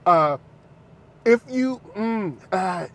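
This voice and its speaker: A man chatting casually and excitably as a host giving an unscripted film reaction, playful and fond of repeating phrases for emphasis. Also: high-pitched, very slow. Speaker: film reviewer and channel host.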